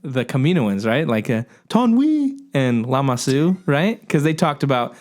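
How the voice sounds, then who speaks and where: A man's voice: medium pitch, very animated, a little slow, darkish tone. Male podcast co-host chatting casually. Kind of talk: casual discussion.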